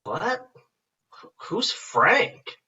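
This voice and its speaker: A man's voice: high voice, sing-song, very slow, sharp tone, breathy edge, heavily acted. An actor at a table read playing a cocky, lovesick, dim-witted young farm boy with a folksy drawl. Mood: flustered. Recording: muffled, distant mic.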